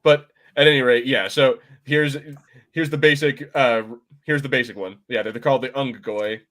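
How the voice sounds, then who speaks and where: A man hosting a casual podcast discussion, explaining things in an informal, rambling and halting way. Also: mid-range voice, fairly even intonation, a bit bright. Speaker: podcast host. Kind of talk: casual pop-culture discussion, explaining game lore.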